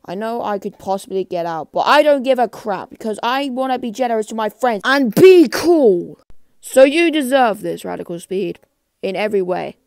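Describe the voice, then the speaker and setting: An amateur voice actor delivering exaggerated, exclamatory web cartoon lines, swinging between muttering and yelling. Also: medium pitch, lively intonation, moderate pace, vocal fry, clean tone, no room sound.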